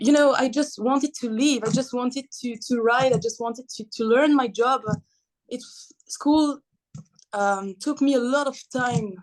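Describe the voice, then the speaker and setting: A woman's voice: fairly high pitch, poor audio. Laughing young woman from Belgium. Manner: animated, laughs often, non-native phrasing. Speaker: guest athlete. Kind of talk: casual interview chat.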